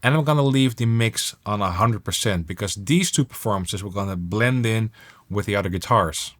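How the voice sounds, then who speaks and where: A man's voice: on the low side, normal speed, a bit bright. A man talking casually as an instructor, explaining music production steps while he demonstrates them.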